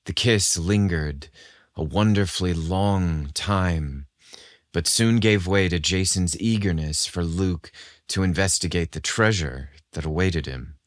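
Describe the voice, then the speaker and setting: Deep-voiced man narrating a story. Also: measured pace, no room sound, studio quality. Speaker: podcast host and story narrator.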